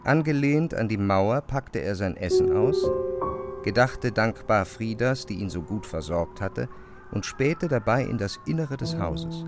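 A man narrating a literary audio drama with measured, precise phrasing. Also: medium pitch.

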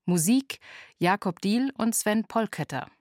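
A woman reads a scripted radio announcement of titles and credits. Her voice is of medium pitch, captured in a very dry studio recording. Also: expressive, unhurried, a little mellow, dry recording.

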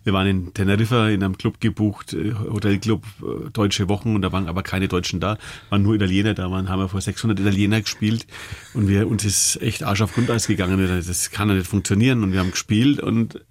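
A middle-aged man from Franconia with a Franconian German accent, a cabaret artist telling lively anecdotes as a guest, often adding "ne?". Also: fairly low pitch, fast. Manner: storytelling, lively, fillers like "ne?". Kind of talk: anecdotal interview chat.

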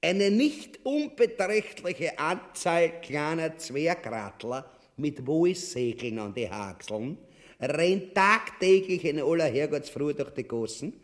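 An actor playing a cowardly, greedy, foul-mouthed tyrant in a grotesque stage farce, with a Viennese accent and whiny, blustering delivery. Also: male voice, fairly high pitch, lively intonation, slow. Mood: pompous. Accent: Viennese Austrian.

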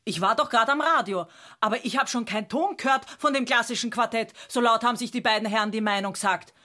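A woman's voice: on the high side, quick pace, sharp tone. An actress playing a nosy, gushing landlady with a Bavarian accent in a radio play, chatting in a colloquial way. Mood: offended.

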